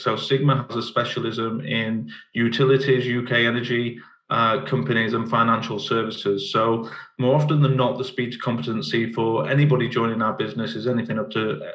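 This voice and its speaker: Man, english accent, middle-aged, flat even delivery, stumbles and repeats words, video call, echoey room. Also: mid-range voice. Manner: halting, repeats words often. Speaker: managing director of partner company. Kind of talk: conference talk over video. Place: office in Cape Town. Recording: roomy echo.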